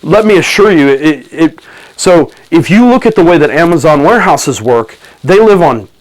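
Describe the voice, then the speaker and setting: A man talking to camera from his office about technology for business, pausing often with ums and restarts, his voice a little gravelly from a cold. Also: on the high side, lively intonation, low-quality recording. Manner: halting, many ums and restarts. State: has a cold, slight gravel. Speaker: tech commentator. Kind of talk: casual video talk to camera. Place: office under renovation.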